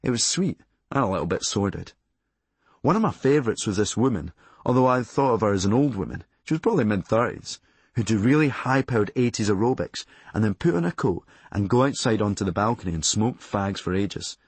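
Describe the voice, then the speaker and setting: A man with a Glasgow Scottish accent reading a scripted memoir, with a deadpan, darkly humorous delivery. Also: lowish voice, slightly rough, dry recording. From Glasgow, Scotland. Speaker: audiobook narrator and author.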